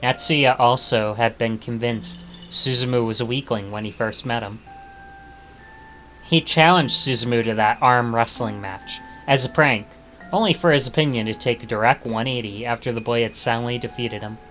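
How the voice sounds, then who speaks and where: A male streamer narrates a visual novel dramatically, reading the text aloud. His voice is bright and mid-pitched, with faint music underneath. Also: normal speed.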